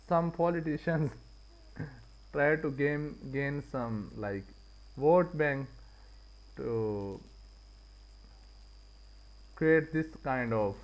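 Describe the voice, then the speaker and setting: Casting: male live-stream trading host, Pakistani accent, voice a bit on the high side, casual and repetitive for emphasis. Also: a little mellow, poor audio. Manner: repeats and rephrases points for emphasis.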